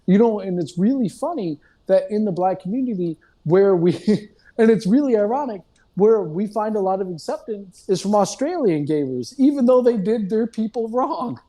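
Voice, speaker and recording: A man's voice: sits high, animated, normal speed, dark tone. A man in a casual group discussion, talking in a loose, digressive way and often checking in with "you know what I mean".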